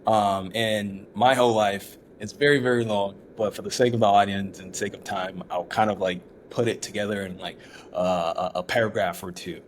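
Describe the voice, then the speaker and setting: A man from Ghana speaks as a founder in a relaxed interview. His voice sits very low. He pauses, repeats himself and restarts often, with a faint hiss of noise behind him. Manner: halting, many restarts and fillers. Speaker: startup founder, guest. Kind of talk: casual interview talk.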